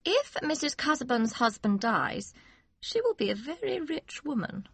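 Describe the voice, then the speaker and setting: Narrator putting on a vain young wife: high, lilting, scheming. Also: male voice, big pitch swings, measured pace, creaky, fairly bright tone, breathy edge, dry recording, full character voice. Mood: coy. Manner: high, light falsetto.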